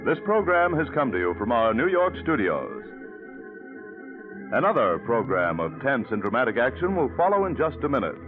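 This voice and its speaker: A man's voice: medium pitch, big pitch swings, moderate pace. A man working as a radio announcer, reading scripted copy in a formal, emphatic 1940s broadcast style. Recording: tinny vintage radio with faint music.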